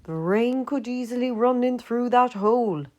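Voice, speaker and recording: A woman's voice: a little high, normal speed, warm tone, voice pushed up, natural voice. A woman voicing a sociable, practical male squirrel in a children's story, with a neighbourly manner. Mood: friendly, thoughtful.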